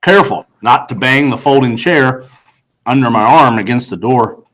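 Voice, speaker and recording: A man reading first-person story narration aloud in an earnest, deliberate storytelling manner. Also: medium pitch, a bit bright, low-quality recording. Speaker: audiobook reader.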